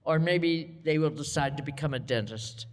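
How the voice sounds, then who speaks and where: A woman's voice: low voice, normal speed, long reverb tail. An older American woman from the Midwest, talking as a guest with a wry, teasing, blunt humor. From United States Midwest. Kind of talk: live onstage conversation. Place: outdoor terrace event.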